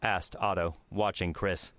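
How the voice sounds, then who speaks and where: Low-pitched male audiobook narrator. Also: fairly even intonation, a little slow, a bit bright, dry recording. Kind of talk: scripted narration.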